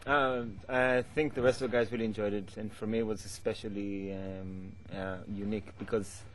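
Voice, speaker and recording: A man from Israel, a band member, giving a steady, enthusiastic account with a few ums. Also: fairly low pitch, moderate pace, slight background noise, rough recording. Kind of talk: casual interview.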